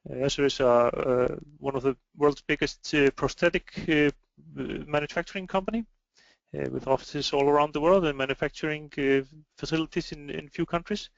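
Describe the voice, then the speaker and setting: A man with an Icelandic accent gives an informal webinar presentation in a hesitant, halting way. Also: a little high, vocal fry, no echo. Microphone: online web meeting microphone. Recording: dry, slightly muffled online call audio.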